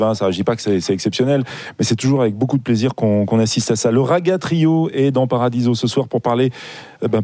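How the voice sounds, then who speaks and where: Chatty male radio host, dark dry voice. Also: mid-range voice, no room sound. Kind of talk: live radio interview.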